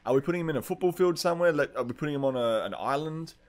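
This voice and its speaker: A man's voice: a little high, expressive, rapid-fire. A man hosting a podcast, chatting casually and arguing his points in a blunt, sweary way. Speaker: podcast host. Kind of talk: casual chat, debating film characters.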